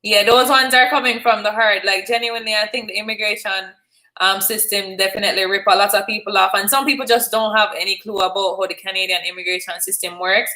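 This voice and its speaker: A woman's voice: medium pitch, fairly even intonation, fast, sharp tone, poor audio. A woman hosting a call-in live stream, chatting casually and encouraging her caller. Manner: friendly, encouraging, chatty with laughs. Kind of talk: casual chat.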